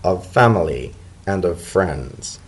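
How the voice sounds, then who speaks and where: Man playing an earnest, husky pony civil servant. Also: sits low, expressive, pitched below the performer's own voice, heavily acted. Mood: tender, reflective. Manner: formal, measured, sincere speech. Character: earnest, polite male pony civil servant, thoughtful diplomat.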